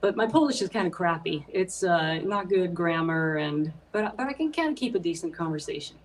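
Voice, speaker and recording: A woman's voice: lowish voice, animated, fairly quick. A woman with a faint Swedish accent, a musician guest chatting casually in an interview, with plenty of hesitant fillers. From Sweden. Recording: voice a bit far from the mic.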